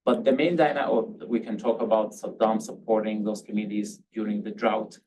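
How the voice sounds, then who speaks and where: A man's voice: fairly low pitch, steady tone, a little fast, a little mellow. A man from Syria speaking as a panelist at a policy discussion, often hedging and restarting his sentences with um and I mean. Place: panel event hall. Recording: distant mic, faint background noise.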